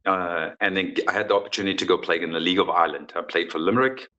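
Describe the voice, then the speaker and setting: A man's voice: deep voice, fairly even intonation, very fast, sharp tone, dull audio. A man in his forties from South Africa, a football coach answering interview questions in an earnest, frank way with many restarts.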